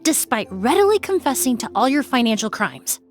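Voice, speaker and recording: An actress playing a calm, analytical young woman perfumer who investigates a poisoning in a video game, speaking with precise wording. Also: on the high side, fairly quick, soft background music. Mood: accusing.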